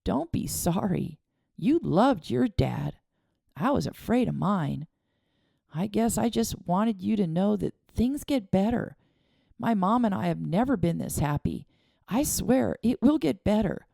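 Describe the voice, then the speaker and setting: Woman as a kind young boy, earnest and steadying; storybook read, gravelly undertone. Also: mid-range voice, expressive, a little slow, no echo, clean studio sound, pitched above the performer's own voice, exaggerated voice. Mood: reassuring. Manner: earnest, gently reassuring.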